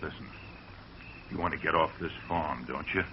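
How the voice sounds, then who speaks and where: An actor playing a scheming young farmhand in a 1940s radio drama, urgent and conspiratorial. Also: male voice, extremely low pitch, normal speed, noisy background. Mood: conspiring. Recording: muffled old radio recording.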